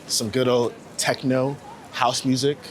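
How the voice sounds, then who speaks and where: A man's voice: medium pitch, level tone, unhurried, rough recording. A man in his late twenties talking casually and reflectively in a rambling, slangy way, like an interviewee out on a walk. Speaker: street photographer being interviewed. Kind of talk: casual walking chat. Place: outdoors on a New York City street. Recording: outdoor city street noise. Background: faint traffic and urban ambience.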